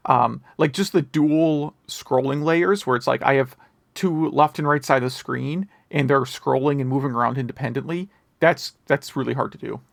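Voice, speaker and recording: A male podcast host with a creaky, gravel-edged voice at a middling pitch. He talks casually and rambles into asides, recorded dry and close. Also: moderate pace, no room sound. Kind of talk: casual retro gaming news chat.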